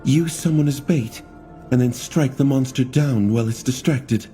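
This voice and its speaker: A man's voice: medium pitch, normal speed, dark tone, soft background music, voice pushed up, lightly acted. A man voicing an earnest blind young woman who stumbles over her words when flustered.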